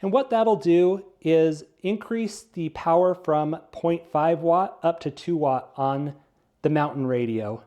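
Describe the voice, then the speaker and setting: A man's voice: a little high, takes it slowly, dark tone. A man giving a casual product review, explaining things plainly, step by step.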